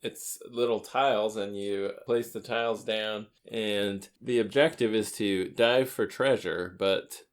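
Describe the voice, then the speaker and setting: A man with a somewhat deep voice co-hosts a board game podcast. He explains things informally and keeps a playful, joking tone in relaxed conversation. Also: measured pace, hardly any pauses. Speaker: podcast co-host. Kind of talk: casual chat about board games.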